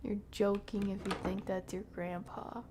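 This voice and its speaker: A woman's voice: medium pitch, fairly even intonation, normal speed, noisy background. A woman streamer playing a horror game live, reading dialogue aloud and reacting. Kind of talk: live gameplay commentary.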